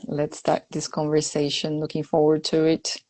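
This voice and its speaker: A woman whose first language is Portuguese, hosting a casual live-stream chat at an unhurried pace, with frequent fillers. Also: sits low, completely flat delivery, warm tone. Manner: unhurried, chatty, many fillers. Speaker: host.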